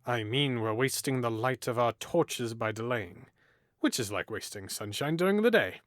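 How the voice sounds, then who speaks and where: Man doing a witty young noble, mocking, theatrical, very animated pitch, dry close sound. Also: normal speed, dry recording, natural voice. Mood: playful. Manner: playful, teasing, theatrical, swings from hushed to loud. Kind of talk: audio drama acting.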